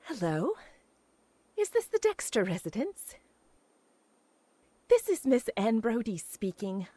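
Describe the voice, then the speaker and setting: A woman's voice: high-pitched, no echo, full character voice. An actress playing a young woman abandoned by her fiancé in an old-time radio drama, talking in a breathless, pleading way.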